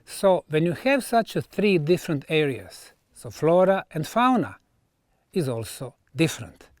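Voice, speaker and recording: A man who speaks English as a second language gives an educational talk, phrasing deliberately with emphatic pauses. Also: fairly high pitch, big pitch swings, darkish tone, no echo, clean studio sound. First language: not English. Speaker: researcher and presenter. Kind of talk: educational talk on archaeology, delivered on site.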